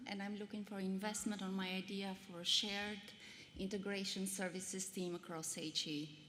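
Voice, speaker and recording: A woman in her forties with an Albanian accent, giving an earnest, measured conference pitch with frequent fillers. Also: fairly bright tone. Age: 40s. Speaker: pitch presenter. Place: large conference hall. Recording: long hall reverb, faint noise.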